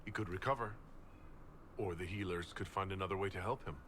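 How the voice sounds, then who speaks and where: Deep-voiced, firm male commander. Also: a little fast, a bit bright, slight background noise. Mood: reasoning. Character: firm, level-headed male commander, blunt but fair.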